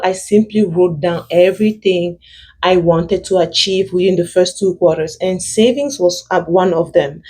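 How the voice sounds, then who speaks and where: Young mom with a thick french-speaking accent, kinda breathy, lots of ums and restarts. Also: female voice, on the low side, a little mellow. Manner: hesitant, many fillers and restarts. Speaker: vlogger. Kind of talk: casual personal finance advice.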